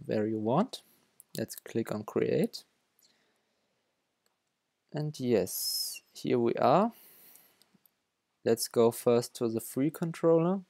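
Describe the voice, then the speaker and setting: A man's voice: mid-range voice, gravelly, dark tone, dry recording. A young man from Germany with a German accent, talking through a coding tutorial over his screen, often stopping to restart his sentences. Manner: halting, many restarts and self-corrections. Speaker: developer tutorial host.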